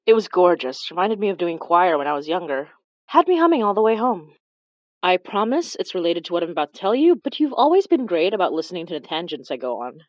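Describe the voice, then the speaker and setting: A woman narrates a horror fiction podcast with chatty, sarcastic asides. Her voice rises and falls a great deal, though it sits on the lower side. The sound is dry and a bit muffled. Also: sing-song, quick pace, no room sound. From Canada. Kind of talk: scripted audio drama narration.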